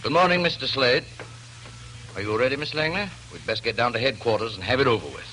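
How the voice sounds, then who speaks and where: An actor playing a polite, determined police inspector in clipped 1940s radio drama style. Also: male voice, mid-range voice, lively intonation, quick pace, natural voice. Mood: brisk, polite. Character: dutiful, determined male police inspector on a murder hunt. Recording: noisy old radio broadcast.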